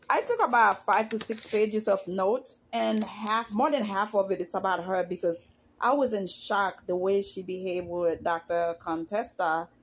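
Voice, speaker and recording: A woman from Haiti, giving her opinions on reality TV in a casual, chatty vlog and climbing in pitch when she gets indignant. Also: slightly rough. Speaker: YouTuber commenting on reality TV.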